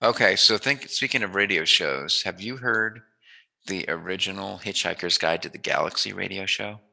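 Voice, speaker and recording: A man with a fairly deep voice that is sharply bright and often creaks into fry. He talks as an interviewer in a loose, informal chat, meandering from point to point. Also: moderate pace, rough recording. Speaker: interviewer, host.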